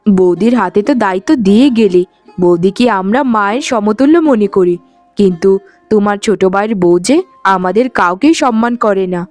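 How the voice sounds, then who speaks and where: Woman acting a gentle cartoon sister-in-law, patient, conciliatory, village household drama, music behind. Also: mid-range voice, brisk, dry recording, natural voice. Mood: complaining.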